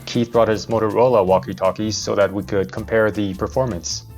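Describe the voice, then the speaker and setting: A young man narrates a casual gear review as a vlog host. His voice sits on the low side, with soft music underneath. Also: fairly even intonation, a little fast.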